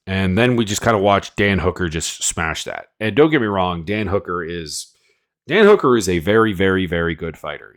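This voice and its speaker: A man with a cold, sniffling as he rambles through casual, opinionated fight predictions full of fillers. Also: sits low. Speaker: MMA analyst host.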